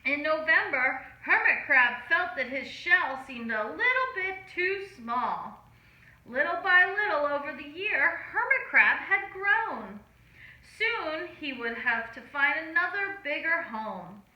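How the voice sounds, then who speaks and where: A woman reading a picture book aloud to children in an animated, deliberate storytelling manner. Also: high voice, lo-fi sound. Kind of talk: expressive children's read-aloud. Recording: roomy, echoing room.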